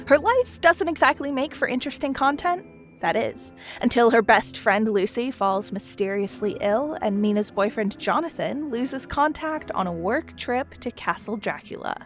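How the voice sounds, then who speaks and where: A woman's voice: a little high, moderate pace. A woman reading a scripted podcast promo as a producer introducing a show. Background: music.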